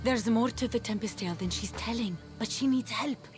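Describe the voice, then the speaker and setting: An actress playing a compassionate, determined young woman fighter in a video game, earnest and purposeful over soft dramatic music. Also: a little high, a little fast, natural voice.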